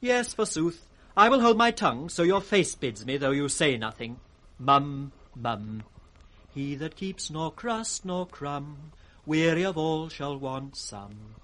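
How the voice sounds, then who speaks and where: An actor playing a witty, impudent court fool in radio Shakespeare, with a sing-song, riddling delivery. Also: male voice, on the high side, animated, takes it slowly, a bit bright. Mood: teasing. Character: witty, mocking court fool, teasing the king. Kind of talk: 1960s British radio Shakespeare acting, with sung rhymes. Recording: vintage 1960s radio drama recording.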